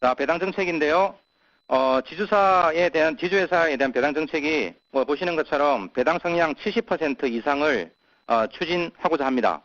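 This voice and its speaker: A man presenting as a company executive on an earnings call, pausing often with filler sounds. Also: a little high, a bit bright. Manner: halting, many filler sounds. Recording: muffled conference call audio.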